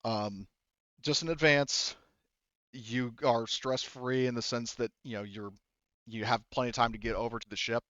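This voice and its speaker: A man runs a tabletop roleplaying game as game master. He talks informally and haltingly, often restarting his sentences as he explains the scene. The recording is close and dry, slightly dull on top. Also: medium pitch, fairly even intonation, fairly bright tone, dry recording.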